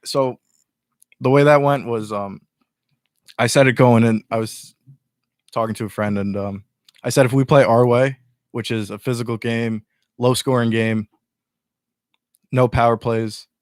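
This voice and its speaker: A man's voice: mid-range voice, steady tone, a little slow, long pauses, no room sound, studio quality. A young man guesting on a casual chat show, earnestly breaking down his team's chances. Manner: earnest, explains at length with restarts.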